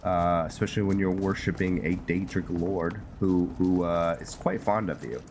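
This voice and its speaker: A man doing casual, rambling gameplay commentary, thinking aloud and pausing mid-sentence as he hosts. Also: deep voice, fairly even intonation, a little mellow, a little hiss or hum. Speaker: gameplay host narrating a roleplay series. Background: music behind the voice.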